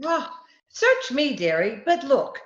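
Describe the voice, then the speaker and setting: A woman plays a patient, wry wife keeping the peace in an old radio comedy. Her voice is high and lively, soothing and affectionate, heard through a dull, echoey recording. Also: slow, full character voice.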